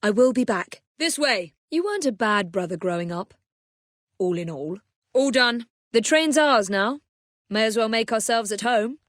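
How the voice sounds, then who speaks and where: A woman voicing a confident young Victorian English assassin and gang leader in a video game, crisp, commanding and wryly polite. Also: fairly high pitch, expressive, normal speed, a bit bright, no room sound, studio quality. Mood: composed, businesslike.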